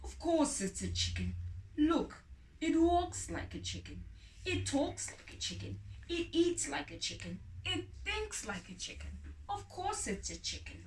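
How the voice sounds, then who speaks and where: A stubborn, amused farmer from a storybook, acted out by a woman, insists and teases. The voice swings widely in pitch and is heard from a little distance in a roomy space. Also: big pitch swings, a little slow, full character voice. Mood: amused, insistent. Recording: roomy echo, distant mic, faint noise.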